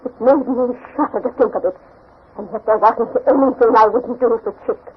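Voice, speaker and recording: A dull old radio recording of an anxious, brooding old woman. Her voice is high and husky. She tells her eerie tale solemnly, in the manner of a 1940s radio mystery. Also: a little fast. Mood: fearful, urgent. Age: older. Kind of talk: 1940s radio drama acting, haunted first-person narration.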